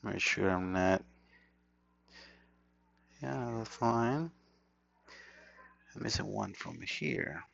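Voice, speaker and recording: Gravelly Costa Rican repairman muttering. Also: lowish voice, drawn-out words, pauses often, fairly bright tone. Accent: Costa Rican Spanish. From Costa Rica. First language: Spanish. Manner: muttering to himself while working, informal. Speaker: electronics repair technician. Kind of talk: thinking aloud during a hands-on repair tutorial. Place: repair workbench indoors. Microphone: action camera mic. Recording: dull lo-fi action camera audio.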